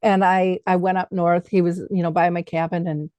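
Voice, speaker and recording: A woman's voice: fairly low pitch, flat delivery, moderate pace, a little mellow, no echo, lo-fi sound. A middle-aged woman hosting a conversational interview, telling personal stories and acting out the dialogue in them. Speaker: podcast host.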